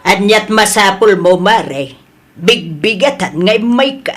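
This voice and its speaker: A woman playing an evasive, middle-aged village debtor in an Ilocano radio-style comedy drama, with drawn-out, pleading, exasperated speech. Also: mid-range voice, a crowd in the background, lightly acted. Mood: testy. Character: evasive indebted village woman, addressed as Nana.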